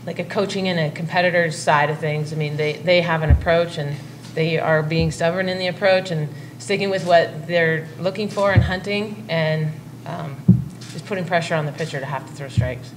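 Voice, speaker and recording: Low-voiced woman coach at press table. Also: fairly even intonation, moderate pace, few pauses, background noise. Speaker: head softball coach. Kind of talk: postgame press conference answers. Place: press conference room. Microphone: table mic.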